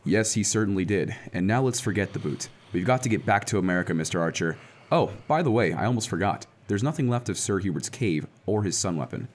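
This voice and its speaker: A man's voice: on the low side, animated, quick pace. An actor playing a confident, kindly heroic man in an old-time radio serial. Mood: earnest, resolute.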